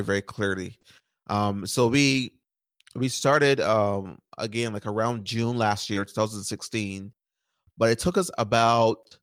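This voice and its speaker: A man from Barbados in his thirties, guesting on an interview show. His voice sits low, and he rambles easily with lots of fillers and repeated words. The recording is dry and close. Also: no room sound. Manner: relaxed, repeats words and uses many fillers. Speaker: startup founder and podcast guest. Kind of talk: conversational interview answers.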